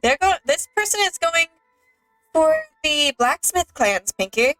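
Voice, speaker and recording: A woman's voice: high voice, big pitch swings, measured pace, fairly bright tone, faint music behind, rough recording. A woman chatting casually as she plays a video game on stream. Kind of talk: casual gameplay chat.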